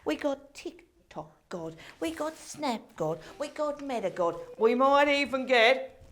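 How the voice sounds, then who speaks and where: Chatty heavenly gatekeeper played by a woman, higher-pitched, bumbling and persuasive, stage comedy. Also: expressive, slow, a bit bright, full character voice. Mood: eager pitching. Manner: chatty, stammering, jovial. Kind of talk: stage comedy play acting.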